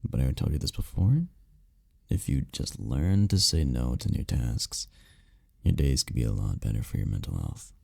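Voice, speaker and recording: A man playing a tender, powerful incubus boyfriend in an intimate roleplay ASMR, speaking softly and soothingly with unhurried, caring murmurs. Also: expressive, dry recording, studio quality, full character voice. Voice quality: deep, dark, gravelly, husky. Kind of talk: intimate roleplay ASMR, scripted comfort monologue. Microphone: close mic, dry.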